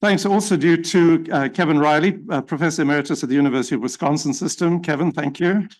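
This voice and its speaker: Middle-aged South African man, formal opening remarks, measured pace, many fillers. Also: on the high side. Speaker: symposium chair. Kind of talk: formal welcome speech.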